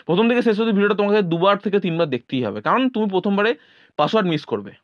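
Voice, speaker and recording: Fast-talking, higher-pitched man with West Bengal accent. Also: expressive, no room sound, lo-fi sound. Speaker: YouTube presenter and tutor. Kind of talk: casual exhortation to students.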